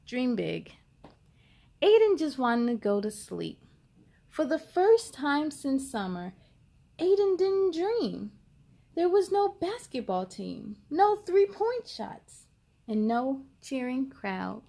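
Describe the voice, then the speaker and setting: A woman hosting a children's story time, reading aloud expressively with drawn-out words and talking directly to young listeners. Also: sits high, sing-song, gravelly, mellow.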